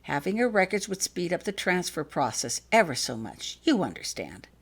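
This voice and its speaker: Woman acting a wizard dad, smooth, polite, persuasive, quietly in command, lively intonation, storybook dialogue. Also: lowish voice, moderate pace, exaggerated voice. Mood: smooth, businesslike. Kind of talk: acted fanfiction audiobook dialogue.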